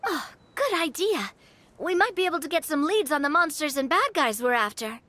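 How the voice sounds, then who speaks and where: A woman's voice: sits high, animated, normal speed, sharp tone. An actress playing a cheerful, optimistic young woman adventurer with a sunny, chatty, earnest manner.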